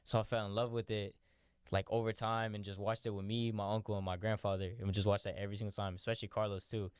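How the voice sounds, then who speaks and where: A young man, a boxer, chatting casually in an interview, relaxed and rambling with lots of "like" and "you know". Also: on the low side, quick pace, clean tone, no room sound, clean studio sound. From born in New York, raised in Florida. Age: young adult. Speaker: professional boxer, interview guest.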